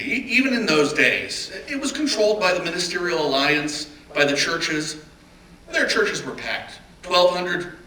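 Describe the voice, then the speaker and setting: A man performing a dramatic reading as a reflective big-city mayor, telling his memories in a deliberate, emphatic, earnest way. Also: high-pitched, sharp tone. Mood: emphatic, indignant. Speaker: stage reader in a dramatic reading. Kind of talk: dramatic reading of oral history monologue. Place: live reading in a roomy indoor venue. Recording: echoey room, distant mic, background noise.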